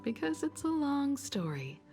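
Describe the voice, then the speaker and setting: An actress voicing a calm, reflective woman who leads a starship crew, speaking in a measured, earnest way in video game dialogue. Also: sits high, vocal fry, heavily acted. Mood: tender, sincere. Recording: game music behind the voice.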